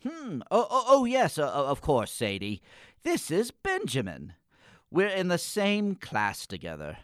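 A man's voice: a little high, wildly swooping pitch, measured pace, no echo, clean studio sound. Man playing a pompous, morose schoolboy, wildly swooping delivery. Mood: flustered. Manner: pompous, wordy, hesitant when flustered. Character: morose, pompous, evasive schoolboy with florid gothic diction. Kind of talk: comic radio play acting.

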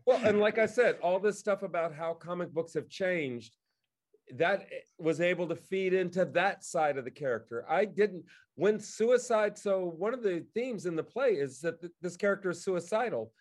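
Higher-pitched man telling stories in conversation. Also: expressive, moderate pace. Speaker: guest co-host and theatre director. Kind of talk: casual storytelling in conversation.

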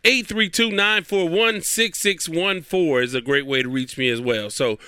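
A man's voice: fairly high pitch, moderate pace, bright, forceful tone, clean tone, no room sound, studio quality. A man hosting his own podcast in casual, rambling talk, nasal and stuffy from a cold. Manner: rambling, chatty, repeats himself. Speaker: podcast host.

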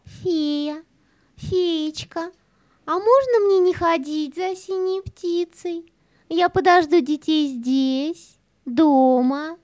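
A woman's voice: very high voice, slow, a little mellow, no echo. A woman playing a sly, fawning house cat in a children's audio play, cooing and wheedling sweetly.

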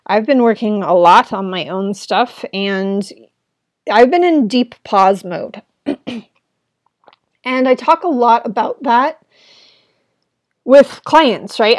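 A woman hosting her own podcast, talking in an earnest, rambling way with a gravelly, smoke-irritated throat. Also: medium pitch, no room sound, clean studio sound. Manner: earnest, rambling, with frequent "right?". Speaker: podcast host. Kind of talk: reflective solo podcast talk. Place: at home indoors.

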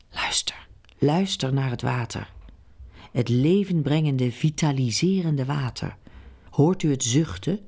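A woman reading a meditative, incantatory text aloud, guiding listeners in a slow, hushed way. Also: very deep voice, vocal fry, slightly rough. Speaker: narrator. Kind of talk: scripted meditative narration.

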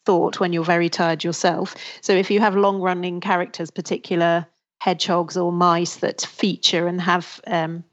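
A woman's voice: fairly low pitch, level tone, normal speed. A woman who translates books, chatting thoughtfully in an interview and laughing easily. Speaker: literary translator and academic. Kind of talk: conversational interview answers. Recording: dull lo-fi audio.

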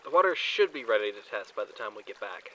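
An actor in an audio drama playing an eager, cheeky junior scientist. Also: male voice, fairly low pitch, sing-song, fast, pushed and bright, slight background noise, rough recording. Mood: eager, businesslike.

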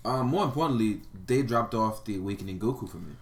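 A man's voice: on the low side, fast. A man from Brooklyn with a New York accent chatting casually as a podcast co-host, brash, profane and emphatic. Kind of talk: casual chat with friends. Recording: faint background noise.

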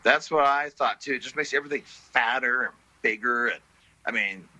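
A middle-aged man, a blues musician guest, talking in a relaxed, story-telling way full of fillers. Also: medium pitch, normal speed, poor audio. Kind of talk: casual interview chat.

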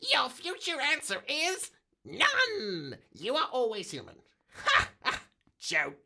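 A man voicing a cheeky, sarcastic talking computer sidekick in a radio drama, deadpan with theatrical flourishes. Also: very high voice, slow pace, vocal fry, background noise, exaggerated voice. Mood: teasing.

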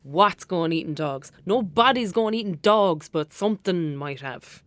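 A woman's voice: fairly low pitch, animated, a little slow, fairly bright tone, dry recording. A woman with an Irish accent playing a bold, playful young girl, a bossy and teasing best friend, in a naturalistic audio drama. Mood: mocking, cheeky. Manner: cheeky, coaxing, colloquial banter.

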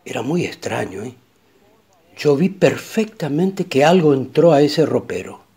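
An actor playing a husband who soothes but is uneasy, in a haunted-house radio play. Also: male voice, a little high, sing-song, unhurried, mellow, breathy edge, exaggerated voice. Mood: unsettled. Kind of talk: Spanish-language radio play acting.

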